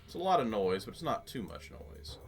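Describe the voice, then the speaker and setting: A man's voice: lowish voice, sing-song, a little fast, over music. A man giving casual, jokey let's play commentary, muttering to himself as he goes, as the host of a gaming channel.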